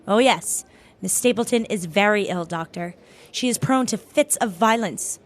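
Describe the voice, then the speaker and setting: A woman's voice: mid-range voice, normal speed, close to an everyday voice. A woman playing a guarded, dignified lady in an audio drama, speaking in a composed, formal and reserved way. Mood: earnest. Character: guarded, curt, dignified divorced working woman.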